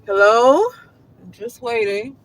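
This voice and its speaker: A man's voice: extremely high pitch, expressive, slow pace, fairly bright tone. A man vlogging in a casual, rambling monologue, often restarting his sentences and asking rhetorical questions. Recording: noisy street traffic behind.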